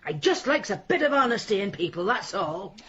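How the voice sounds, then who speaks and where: A blunt, grudging shopkeeper. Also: female voice, medium pitch, very animated, brisk, a bit bright, lo-fi sound. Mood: needling, defensive. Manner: rustic, disapproving, needling. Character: blunt, grudging village shopkeeper woman, suspicious of Londoners. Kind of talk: radio drama acting.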